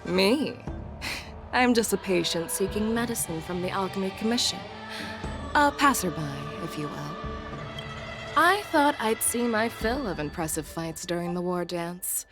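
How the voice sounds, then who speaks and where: A woman's voice: medium pitch, lively intonation, measured pace, lightly acted. An actress voicing a confident, playful woman general in a video game, teasing and self-assured, with music behind.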